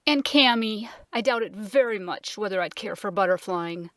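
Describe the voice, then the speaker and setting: A woman's voice: mid-range voice, animated, normal speed, fairly bright tone, dry recording, clean studio sound, lightly acted. A woman voicing a gloomy, dubious male dromedary in a storybook, tremulous and wheezy. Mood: dry doubt.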